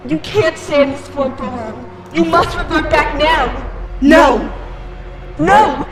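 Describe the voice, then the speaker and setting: A woman's voice: high-pitched, slow, music in the background. A woman acting a desperate, stubborn young fighter in an anime-style fan drama, with strained and urgent delivery. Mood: urgent, pleading.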